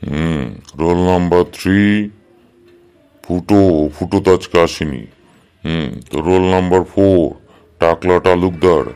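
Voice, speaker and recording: A man's voice: deep voice, fairly even intonation, darkish tone, clean tone. A man playing a stern, exasperated schoolteacher in a comedy cartoon, scolding and sarcastic. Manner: scolding, sarcastic, drawn-out hums. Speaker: cartoon voice actor. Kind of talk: Bengali comedy cartoon dub.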